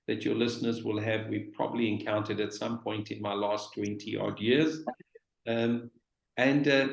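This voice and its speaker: A middle-aged man giving an informal webinar presentation about his business, with a halting, pausing delivery. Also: medium pitch. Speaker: real estate business owner, panelist. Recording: roomy, echoing sound.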